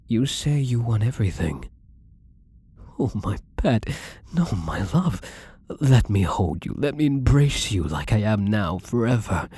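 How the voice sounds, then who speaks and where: Man acting a breathy, possessive lovestruck gambler. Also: on the low side, warm tone, no echo, exaggerated voice. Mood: tender, devoted. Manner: breathy, slow, intimate, often dropping to a whisper. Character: possessive, lovestruck wealthy gambler, adoring and commanding. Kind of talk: intimate ASMR romance roleplay.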